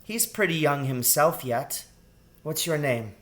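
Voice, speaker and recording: Gentle grown-up lady mouse, man putting her on, slightly raised pitch, patient, polite, questioning, storybook. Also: animated, measured pace. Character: gentle adult mother mouse, puzzled, polite. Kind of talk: storybook character voice in a read-aloud.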